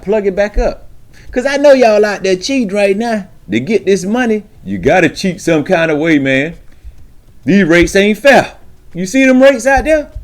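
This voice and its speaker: A man talking informally as a trucker giving advice to newcomers, emphatic and streetwise, with frequent check-ins to his listeners. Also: sits high. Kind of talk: informal instructional monologue to camera.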